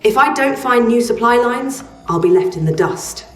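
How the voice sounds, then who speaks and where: A woman's voice: a little high, lively intonation, normal speed, reverberant, recorded from a distance, soft background music, close to an everyday voice. An actress playing an ambitious, discontented female arms trader in a video game, grumbling and driven. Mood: frustrated, determined. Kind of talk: scripted video game dialogue.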